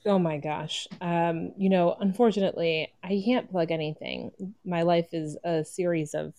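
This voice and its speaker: A woman's voice: on the low side, fairly even intonation, moderate pace, warm tone. A woman panelist chatting casually in a wry, self-deprecating way. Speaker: actor and podcaster, panelist.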